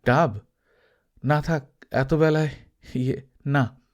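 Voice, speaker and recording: A man's voice: fairly high pitch, steady tone, slow. A man voicing a shy, polite young male student who speaks in a hesitant, faltering way in an audiobook story. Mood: flustered, hesitant.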